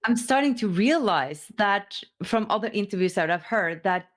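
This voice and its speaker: A woman's voice: mid-range voice, animated, normal speed. Norwegian woman hosting an interview. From Norway. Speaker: interview host. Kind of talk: casual spoken interview.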